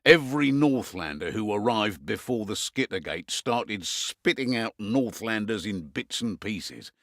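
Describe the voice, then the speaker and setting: A gruff, middle-aged British innkeeper who runs a resistance from hiding. His delivery is lively and varied in pitch, with wry asides and a weary edge. It is a video game briefing, recorded very dry and close. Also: unhurried, no echo. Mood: grim, rueful.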